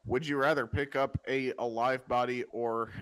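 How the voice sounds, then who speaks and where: A man's voice: medium pitch, fairly even intonation, a bit bright, clean tone, poor audio. Guy hosting a podcast, lots of uh and restarts, casual cursing. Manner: halting, many fillers and restarts, swears casually. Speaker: podcast host. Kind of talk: casual chat.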